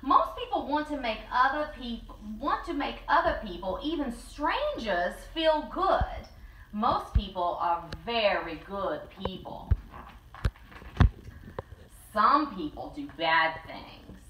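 A woman co-hosting a story time, reading a picture book aloud in an expressive, deliberate way with drawn-out words. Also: a little high, noisy background. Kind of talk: animated children's story read-aloud. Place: small living room with fireplace and bookshelves. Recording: roomy, slightly distant camera mic.

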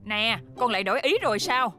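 A brisk cartoon mom, sharp bright voice, a bit high. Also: female voice, lively intonation, faint music behind. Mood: exasperated. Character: brisk, exasperated mother of a schoolgirl. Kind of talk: animated cartoon dub.

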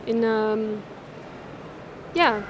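A young woman talks casually in a fairly high voice, feeling for her words as she goes. She holds a mic in a large, busy indoor fair hall, with clear noise around her. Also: extremely slow. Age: young adult. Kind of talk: casual conversation. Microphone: handheld mic, seen.

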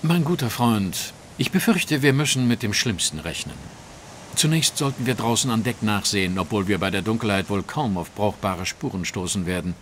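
A man's voice: on the low side, expressive, moderate pace, slight background noise, natural voice. An actor playing a brilliant, self-assured male detective, precise and commanding, in a German audio drama. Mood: grave.

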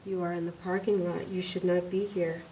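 A woman voicing a calm, gentle female machine guide that makes soft, even announcements. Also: lowish voice, moderate pace, distant mic, slight background noise, voice pushed down, natural voice, low-quality recording.

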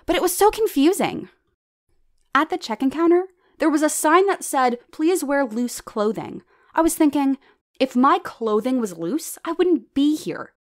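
Wry, self-deprecating comedienne played by a woman. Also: fairly high pitch. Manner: wry, punchline-driven delivery. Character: self-deprecating plus-size female stand-up comedienne named Eleanor. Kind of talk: stand-up comedy routine in an audio drama.

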